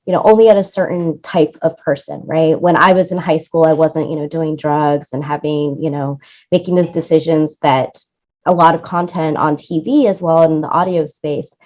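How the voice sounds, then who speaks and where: A woman's voice: low voice, fairly even intonation, normal speed, darkish tone, highs cut off. A woman talking conversationally as an interview guest, explaining things in a chatty way and checking in with the listener as she goes. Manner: chatty, explanatory, often tags points with "right?". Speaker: interview guest, music supervisor and producer.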